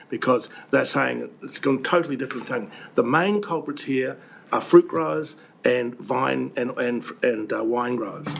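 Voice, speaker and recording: An older man with a New Zealand accent, croaky from the flu, presenting earnestly and indignantly to a council committee with many ums and restarts. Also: mid-range voice. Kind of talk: public submission to a committee. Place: council meeting room. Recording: webcast with faint room noise.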